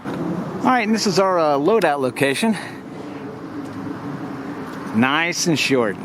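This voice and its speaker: A man who runs live sound, giving a plain, practical walkthrough in a casual vlog, pausing often with "uh". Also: fairly high pitch, sing-song, roomy sound, noisy background. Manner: plain, practical, frequent "uh" pauses. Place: outdoors at a brewery gig.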